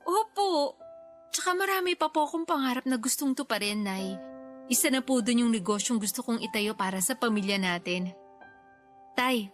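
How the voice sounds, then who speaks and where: An actress as a young adult daughter in a radio drama speaks in a fairly high voice. She is respectful and eager, a woman with ambitions. Soft music sits behind her. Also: lively intonation, moderate pace, natural voice. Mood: eager, determined. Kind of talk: Tagalog radio drama acting.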